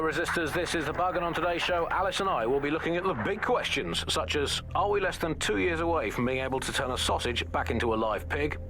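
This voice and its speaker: A man's voice: medium pitch, lightly acted. A man with a British accent playing a wry pirate radio host, bantering sarcastically with mock enthusiasm and stammering restarts. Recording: faint background noise.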